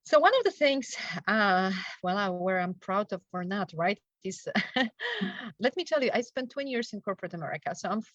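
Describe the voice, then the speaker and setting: A woman's voice: mid-range voice, sing-song, normal speed, a bit bright, no room sound. A middle-aged woman from Poland with a Polish accent, a guest telling her story in a chatty way, laughing often and checking in with "right?". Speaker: guest, travel wellness coach. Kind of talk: casual interview chat. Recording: dull, dry lo-fi sound.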